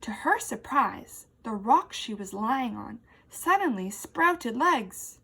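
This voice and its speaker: Expressive, high-voiced woman reading to children. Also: takes it slowly, slightly rough. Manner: expressive, addressing young children. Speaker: teacher. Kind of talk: read-aloud children's story with questions.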